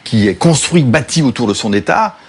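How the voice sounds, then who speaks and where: A man with a husky voice, asking questions in a political interview. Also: mid-range voice, lively intonation, normal speed.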